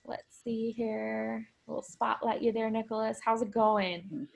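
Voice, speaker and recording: A woman's voice: a little high, little pitch movement, takes it slowly, vocal fry. A woman moderating an online alumni panel over video call, enthusiastic and encouraging as she introduces guests. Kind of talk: upbeat online panel hosting.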